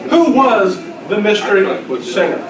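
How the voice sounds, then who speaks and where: Older man, high-pitched voice, quiz master reading questions, distant mic, chatter around him. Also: animated, unhurried. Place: large room at a club luncheon. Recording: distant mic in echoey room, chattering crowd.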